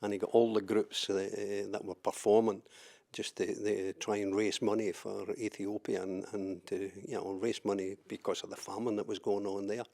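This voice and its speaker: A man's voice: lowish voice, little pitch movement, normal speed, gravelly, darkish tone. An older Scottish man from southwest Scotland, recalling his life in an oral history interview, hesitant with many fillers and repeated words. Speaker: interviewee, trade unionist.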